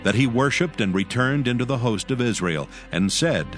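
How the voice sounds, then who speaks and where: A man narrating scripture from a script in a measured, formal storytelling manner. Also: medium pitch, lively intonation, soft background music.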